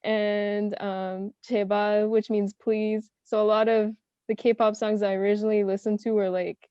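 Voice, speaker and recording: Mellow soft-spoken woman on a call. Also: mid-range voice, flat delivery, moderate pace, clear, resonant tone, no room sound, dull audio. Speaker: panelist, self-taught language learner. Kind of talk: casual online round-table chat.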